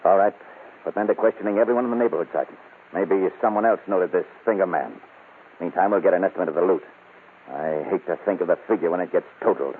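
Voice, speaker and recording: An authoritative police captain in a vintage radio crime drama. He is very deep and quick, on a narrow, tinny recording. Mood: grim, businesslike. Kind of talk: old-time radio crime drama acting. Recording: band-limited old radio recording, light hiss.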